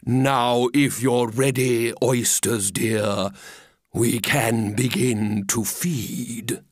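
A man voicing a pompous, greedy walrus from a storybook poem, talking in a slow, grandiose way with false sympathy. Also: medium pitch, fairly even intonation, no room sound, clean studio sound, pitched below the performer's own voice. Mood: smugly genial. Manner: slow, drawn-out, grandiose delivery. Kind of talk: storybook verse character reading.

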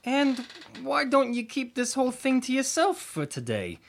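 An easygoing dad played by a man in a comic audio drama. His delivery is casual and dryly joking, with lively rises and falls in pitch. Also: moderate pace, creaky, a little hiss or hum, full character voice. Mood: wry. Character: easygoing, wisecracking husband and father. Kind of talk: scripted comedy audio drama.

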